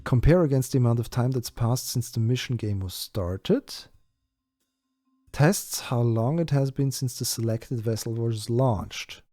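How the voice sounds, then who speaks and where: A man's voice: mid-range voice, unhurried, mellow, no room sound, faint music behind. A man whose first language is German, chatting through a live game stream and thinking aloud with many fillers and restarts. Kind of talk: live-stream gameplay chat.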